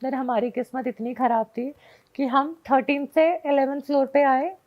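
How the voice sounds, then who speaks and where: A high-voiced woman tells her story in a casual, chatty way as a guest. She drops English phrases in often. The sound is slightly dull and lo-fi. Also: steady tone, moderate pace. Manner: mixes Hindi with frequent English phrases. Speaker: guest telling a personal ghost story.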